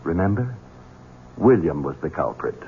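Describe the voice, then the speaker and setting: An actor playing a scheming, coldly coercive grown son and heir in an old-time radio mystery. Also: male voice, low-pitched, very animated, moderate pace, heavily acted. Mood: cold, insistent. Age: 30s. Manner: smooth, coldly coercive, insinuating. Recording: tinny, muffled vintage radio recording.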